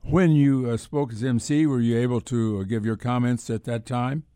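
A man co-hosting unscripted sports talk radio, speaking haltingly and repeating words as he goes. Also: medium pitch, fairly even intonation, dark tone, clear, resonant tone, dry recording, clean studio sound.

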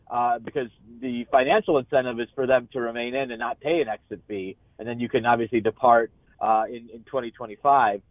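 A man comes through on a dull, band-limited line, dry and free of room sound. He speaks as a sports journalist guesting on a radio show, halting and repeating words as he lays out his analysis. His pitch sits in the middle for a man. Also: no room sound. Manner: halting, repeats words, frequent "you know". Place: remote call into a radio show.

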